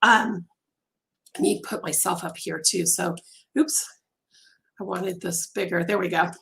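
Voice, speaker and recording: A woman hosting a casual crochet live stream from home, chatty with many ums and pauses, her voice going hoarse and interrupted by throat clearing. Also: fairly low pitch, steady tone, fairly bright tone. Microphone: phone on a mount.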